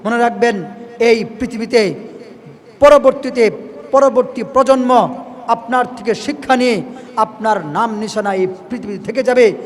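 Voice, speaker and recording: A male Islamic preacher speaks in a distinctly high register. He exhorts his listeners emphatically, repeating phrases to drive them in. His voice carries a long, echoing hall reverb. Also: measured pace, pauses often. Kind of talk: impassioned sermon to listeners.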